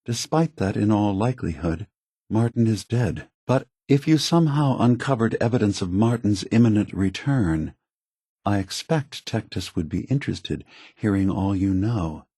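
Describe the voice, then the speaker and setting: An actor playing an ancient synthetic android leader in a video game, calm, sorrowful and earnest, with measured, deliberate delivery. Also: male voice, lowish voice, husky, no echo, clean studio sound. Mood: measured persuading. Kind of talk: scripted video game dialogue.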